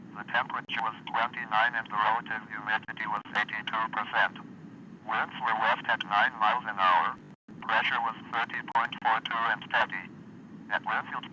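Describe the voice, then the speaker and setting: A computer-synthesized man reads the weather on an automated radio service, each word set down clipped and even. The voice sits somewhat low but has a hard, very bright edge. It comes through a dull, lo-fi radio recording with a faint hiss behind it. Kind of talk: automated weather broadcast reading.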